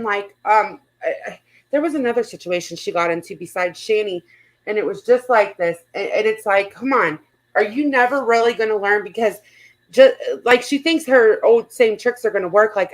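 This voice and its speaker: A woman talks casually as a livestream panel host, with a middling pitch. Her manner is blunt and informal, and she swears freely as she gives gossipy commentary. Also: moderate pace, rough recording.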